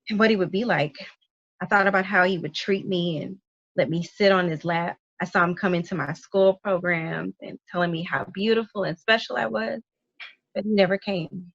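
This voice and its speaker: A woman's voice: lowish voice, creaky, a bit bright, no echo, lo-fi sound. A woman playing a hurt, exasperated young wife in a dramatic table read, speaking emotionally. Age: young adult. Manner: emotional, complaining.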